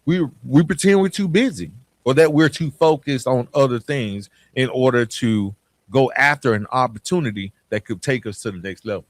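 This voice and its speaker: A man with a mid-pitched voice hosts a motivational podcast. He talks in a conversational, reflective way and leans on key phrases for emphasis, checking in with the listener. The sound is close and dry, with very little room around it. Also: normal speed, no room sound. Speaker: podcast host.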